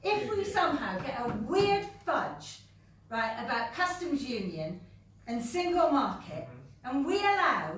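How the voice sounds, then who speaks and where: A woman's voice: high voice, very animated, a little slow, fairly bright tone. A woman on a panel, speaking passionately and emphatically about politics. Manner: passionate, emphatic, repeating words. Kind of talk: impassioned political speech. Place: meeting room at a conference fringe event. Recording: very echoey room, distant mic, noisy.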